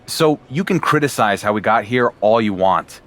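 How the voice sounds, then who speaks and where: An actor playing a young champion wrestler who talks in a dry, sarcastic way. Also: male voice, fairly low pitch, expressive, normal speed, fairly bright tone.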